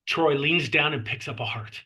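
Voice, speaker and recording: A man narrates scene directions from a horror script. His voice is a little high, bright and forceful, with a husky edge. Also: moderate pace. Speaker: stage directions reader.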